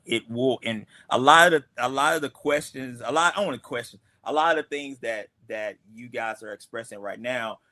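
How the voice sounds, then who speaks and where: Guy on a stream chatting with his cast, bright forceful voice, lots of um and you know, dry close sound. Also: mid-range voice, moderate pace, few pauses, dry recording. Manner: rambling, many fillers and restarts, laughs often. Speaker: creator of the comic, the cast's boss. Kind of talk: casual livestream chat.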